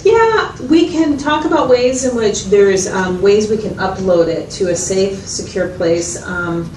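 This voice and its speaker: A woman's voice: mid-range voice, animated, a little slow, a little mellow, lightly acted. A woman playing a patient, methodical mental health clinician in a role-played consultation, explaining and checking understanding with questions. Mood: patient, explanatory. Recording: echoey, distant mic, noisy room.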